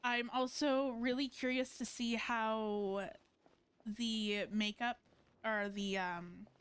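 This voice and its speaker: A woman's voice: fairly high pitch, steady tone, slow pace, smooth, no echo. A woman game streamer giving casual live commentary, rambling as she thinks aloud.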